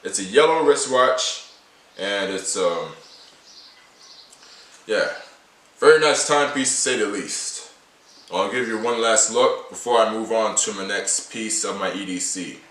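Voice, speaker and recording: A nineteen-year-old man talks to camera in a casual, rambling way, circling back to the same points again and again. His voice is bright and sits at a middle pitch. He sounds a little distant from the mic, and the small room gives an echoey ring. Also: unhurried, long pauses. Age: late teens. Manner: repetitive, rambling, restates points often. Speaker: vlogger showing his everyday carry.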